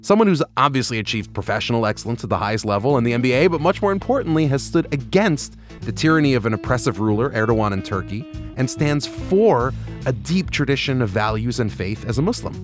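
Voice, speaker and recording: A man hosting an interview podcast, speaking articulately with enthusiastic, lively emphasis. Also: medium pitch, fast, soft background music. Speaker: podcast host.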